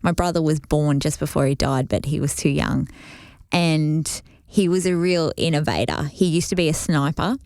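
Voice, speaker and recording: Low, gravelly woman chatting. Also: normal speed, darkish tone, no echo, studio quality. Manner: rambling anecdotal storytelling. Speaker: podcast co-host. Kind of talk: casual chat.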